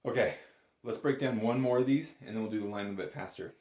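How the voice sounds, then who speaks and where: A man's voice: on the low side, fast, very noisy. A man giving an informal, step-by-step music lesson in a patient, encouraging manner. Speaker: bagpipe instructor. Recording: roomy, echoey indoor sound.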